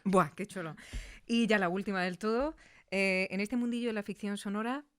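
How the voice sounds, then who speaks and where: A woman's voice: mid-range voice, moderate pace, a bit bright, smooth. A woman with an accent from Spain, hosting a casual, conversational interview in a recording studio. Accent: Spanish from Spain.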